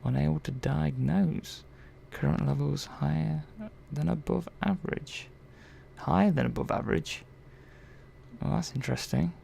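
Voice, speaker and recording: Man playing a soft, creaky-voiced robot technician. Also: fairly high pitch. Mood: curious, puzzled. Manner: unhurried, murmuring, thinking aloud with hesitant asides. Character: curious, gently chatty robot repair technician. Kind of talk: soft-spoken ASMR roleplay. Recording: faint background noise.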